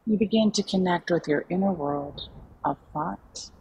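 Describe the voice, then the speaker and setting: A woman leading a guided meditation in a calm, soothing, unhurried way with long pauses. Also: lowish voice, muffled recording, background noise. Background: soft background music.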